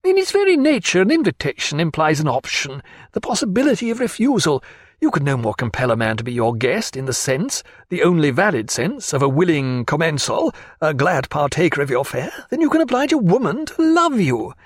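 A man voicing an excitable, fussy ship's surgeon in an audiobook. The figure speaks high and agitated, with a light lilt. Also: a little fast, dry recording, studio quality. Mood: argumentative.

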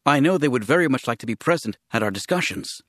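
A man's voice: mid-range voice, animated, quick pace, a bit bright, no echo, studio quality. A man voicing a nervous but polite ambassador in an audiobook, speaking carefully and earnestly like a diplomat. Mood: careful, uneasy.

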